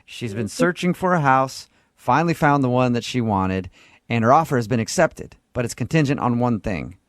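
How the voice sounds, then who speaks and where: A man's voice: mid-range voice, expressive, normal speed, dry recording, clean studio sound. A man hosting a morning radio show with casual, comedic, teasing banter. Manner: joking, teasing. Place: radio studio.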